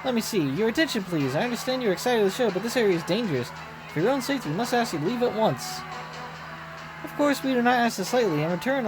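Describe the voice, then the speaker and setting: A woman's voice: a little high, rough recording. A woman voicing a grandiose, self-delighted young singer-empress in an anime game, theatrical and pompous. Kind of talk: voiced reading of anime game dialogue. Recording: loud game music behind voice.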